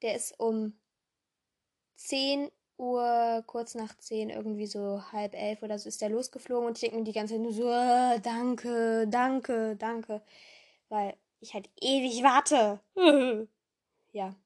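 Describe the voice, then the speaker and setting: Hoarse, chatty young woman. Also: a little high, fairly even intonation, slow, darkish tone. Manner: playful, many fillers and self-corrections, voices jumping up and down. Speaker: podcast host. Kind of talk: rambling casual chat.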